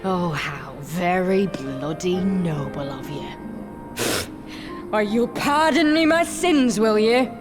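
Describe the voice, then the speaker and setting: An actress playing a bitter, defiant young soldier of an empire in a dramatic game cutscene, scornful and often breathy. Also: female voice, lowish voice, animated, takes it slowly, over music. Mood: bitter sarcasm. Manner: scornful, sneering, breaking into breathy whispers.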